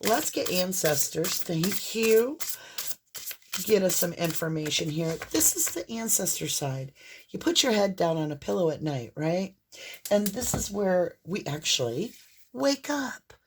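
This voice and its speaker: A woman with a hoarse voice and a mixed Southern and Northern American accent, giving a casual, rambling tarot reading straight to the viewer. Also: fairly low pitch, sing-song, unhurried, slight background noise. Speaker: tarot reader.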